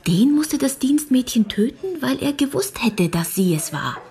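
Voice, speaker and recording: A woman's voice: sits high, big pitch swings, normal speed, mellow, breathy edge, full character voice. An actress playing a curious, stubborn, loyal young girl in a radio drama, questioning and arguing in an earnest way. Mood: argumentative.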